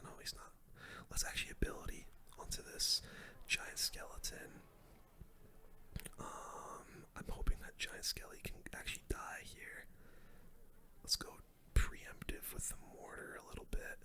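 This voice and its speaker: Whispering ASMR gamer. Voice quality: breathy unvoiced whisper. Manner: calm running play-by-play, muttering. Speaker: gaming streamer. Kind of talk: whispered ASMR game commentary. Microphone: close microphone.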